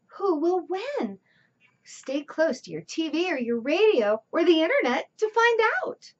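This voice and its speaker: A woman reading a children's storybook aloud with deliberate, theatrical emphasis. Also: very high-pitched, very animated, lo-fi sound. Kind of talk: expressive read-aloud narration.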